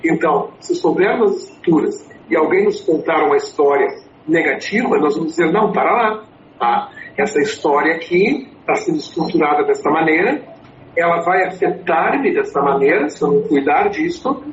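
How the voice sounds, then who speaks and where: A man's voice: a little high, expressive, normal speed. A man in his sixties, a native English speaker, answering interview questions about his storytelling consulting with many fillers and restarts. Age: 60s. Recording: echoey, dull, slightly noisy.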